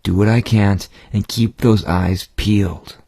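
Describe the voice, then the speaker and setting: A man voicing a protective, resigned older caretaker in a cartoon, with a muffled, husky voice. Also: fairly low pitch, steady tone, unhurried, warm tone, dry recording, clean studio sound. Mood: worried, resigned. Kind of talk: animated cartoon acting.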